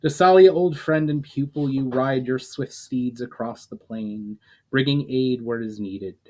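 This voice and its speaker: A man voicing a solemn male leader who grants elemental powers to his friends, speaking in a measured way. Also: medium pitch, a little mellow, lightly acted.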